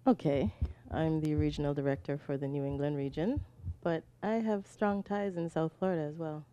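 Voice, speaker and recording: A woman's voice: sits low, steady tone, normal speed, warm tone, clear, resonant tone. Composed woman with a light Jamaican accent. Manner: brief, composed, dryly witty replies. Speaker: regional cricket director, booth guest. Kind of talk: interview answers. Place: commentary booth at a cricket ground.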